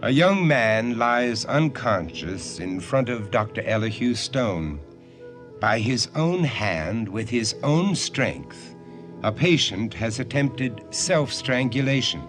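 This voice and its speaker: A man hosting a radio drama, introducing the story as a measured, scripted storyteller. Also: mid-range voice, lively intonation, over music. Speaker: host and narrator.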